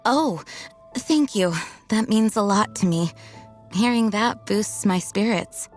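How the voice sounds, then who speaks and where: An actress voicing a dutiful, earnest female knight commander in a video game, speaking formally and in measured tones. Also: mid-range voice, faint music behind, lightly acted.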